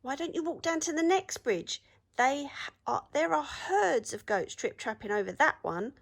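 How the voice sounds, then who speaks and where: A woman's voice: expressive, moderate pace, gravelly. A woman voicing a crafty, pleading long-eared rabbit in a children's storybook. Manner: high, exclaiming, pleading.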